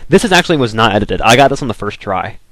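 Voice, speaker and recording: A man's voice: on the low side, very animated, rapid-fire, a bit bright, dry recording. A young man from the southern United States giving casual, rambling commentary over a video game, full of "like" and restarts. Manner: rambling, filler-heavy, restarts, frequent "like".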